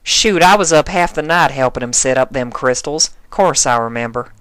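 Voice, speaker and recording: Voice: mid-range voice, lively intonation, normal speed, a bit bright, clear, resonant tone, no echo, pitched above the performer's own voice, close to an everyday voice. A performer doing a folksy country mare. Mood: matter-of-fact. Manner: rustic drawl and homespun grammar. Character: folksy, plainspoken country mare farm pony.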